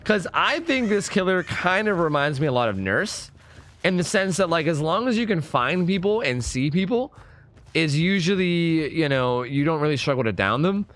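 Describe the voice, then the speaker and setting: A young man with a hoarse, partly lost voice, chatting casually as a gaming YouTuber explaining his build. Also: on the high side, very animated, fairly bright tone. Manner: chatty, fast and filler-heavy. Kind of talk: casual gameplay commentary.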